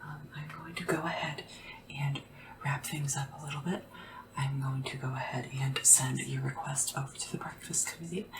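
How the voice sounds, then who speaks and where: A woman playing a humble, deferential royal sleep assistant in a whispered, soft-spoken ASMR roleplay, hesitant and polite. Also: extremely low pitch. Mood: grateful, deferential. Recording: noisy lo-fi home recording, slightly distant mic.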